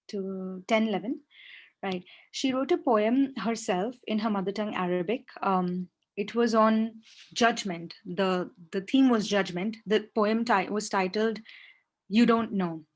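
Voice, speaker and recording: A young woman from Dubai whose first language is Sindhi speaks in a deliberate, explanatory way. Her voice sits at a middle pitch. She talks like a judge sharing her views. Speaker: student poetry judge. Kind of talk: casual interview answers.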